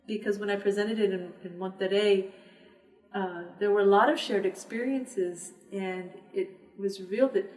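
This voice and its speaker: A woman's voice: medium pitch, fairly even intonation, darkish tone. Woman guest from the Texas border, reflective, roomy sound off-mic. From Texas border region. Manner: thoughtful, measured, with frequent restarts. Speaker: interview guest, poet and publisher. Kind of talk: reflective conversational interview. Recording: echoey room, distant mic.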